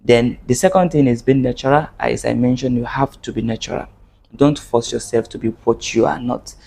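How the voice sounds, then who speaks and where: A man gives a video lesson to camera. His pitch sits at a middle level for a man. He speaks in an earnest way, often repeating and restarting phrases as he explains. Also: moderate pace, creaky, a little mellow. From Nigeria. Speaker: Arabic teacher.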